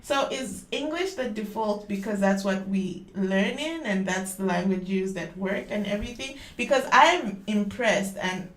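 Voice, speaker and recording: A woman's voice: medium pitch, lively intonation, measured pace, few pauses. A woman hosting a casual podcast interview, who asks her guest curious, chatty questions. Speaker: podcast host. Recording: echoey room.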